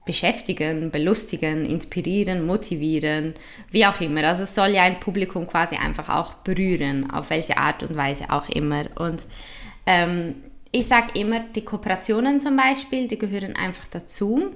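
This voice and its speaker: A woman's voice: on the low side, normal speed. Woman, Swiss German accent, talkative podcast guest who laughs easily and hesitates with fillers. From Switzerland. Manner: chatty, laughs often, many fillers. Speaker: guest, influencer. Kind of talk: casual interview chat.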